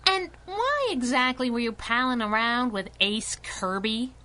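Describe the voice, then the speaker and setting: An actress playing a tough, teasing, affectionate superhero sidekick in a 1930s-style radio drama. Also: female voice, a little high, expressive, unhurried, bright, forceful tone. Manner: sassy, teasing, clipped.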